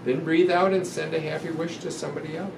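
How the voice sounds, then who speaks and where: A man in his seventies reading a children's book aloud in a gentle, deliberate, instructive way, guiding breathing exercises with pauses between phrases. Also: on the high side, fairly even intonation, vocal fry. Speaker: guest doctor reading a picture book aloud. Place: indoor room in front of a sequined curtain. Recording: roomy, distant pickup with noticeable ventilation hum.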